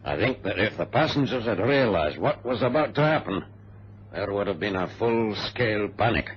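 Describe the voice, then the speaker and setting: An actor playing a brisk, precise ex-colonel who tells his adventure in a formal radio-drama style. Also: lowish voice, animated, husky. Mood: anxious. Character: brisk ex-colonel plane passenger, an orderly organizer. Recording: noisy muffled vintage radio drama recording.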